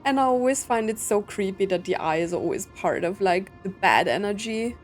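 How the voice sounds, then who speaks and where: A woman's voice: medium pitch, animated, moderate pace, soft background music. A woman doing casual let's-play commentary, musing aloud in a conversational way as she plays. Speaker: gaming content creator.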